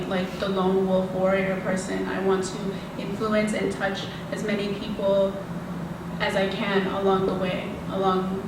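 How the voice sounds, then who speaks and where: Earnest, reflective woman panelist; distant mic, big echoing hall, noise behind. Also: mid-range voice. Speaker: artist on a panel. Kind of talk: panel discussion answer. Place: large assembly hall. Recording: echoey hall from far off, background noise.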